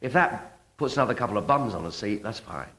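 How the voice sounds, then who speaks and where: A male actor speaks reflectively as an interviewee. His voice sits fairly low. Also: lively intonation, fairly quick, bright, forceful tone, faint music behind.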